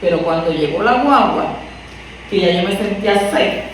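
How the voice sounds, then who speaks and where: A woman's voice: lowish voice, normal speed. A woman from Puerto Rico with a Puerto Rican accent, preaching a conversational sermon and asking her listeners rhetorical questions. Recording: echoey room from far off, faint noise.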